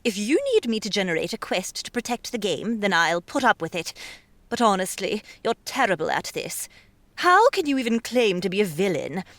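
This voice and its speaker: Imperious princess, brash and bossy, very animated pitch, dry studio sound. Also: female voice, normal speed, no echo. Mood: bossy, impatient. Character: bold, imperious princess gamer, flowery and impatient. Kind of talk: dramatized audiobook acting.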